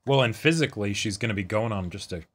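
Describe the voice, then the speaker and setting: A man talking casually as co-host of a reaction show, agreeable and quick to back up his partner. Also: on the low side, expressive, fairly bright tone. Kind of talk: casual discussion of an anime episode.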